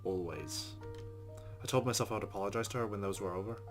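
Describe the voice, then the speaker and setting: A man's voice: fairly low pitch, quick pace. A man hosting a game playthrough, reading narration aloud in a subdued way with swearing asides. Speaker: let's play host. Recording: guitar music behind.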